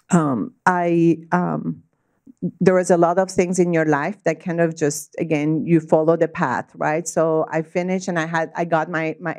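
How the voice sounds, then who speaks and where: A woman's voice: low-pitched, a little mellow. A woman giving a talk and taking questions at a club meeting, conversational, with many fillers and restarts. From Spain. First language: Spanish. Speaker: guest speaker. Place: meeting room.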